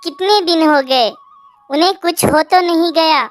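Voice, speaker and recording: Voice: extremely high pitch, unhurried, faint music behind. A performer voicing an anxious little male calf, a younger brother in a cartoon fable. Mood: worried. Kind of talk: cartoon animal fable dialogue.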